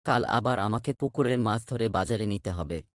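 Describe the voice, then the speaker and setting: A man's voice: on the low side, moderate pace, dry recording, clean studio sound, lightly acted. A man playing a hardworking, respectful village fish farmer in a cartoon, earnest and plain-spoken. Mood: caring. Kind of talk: cartoon voice acting.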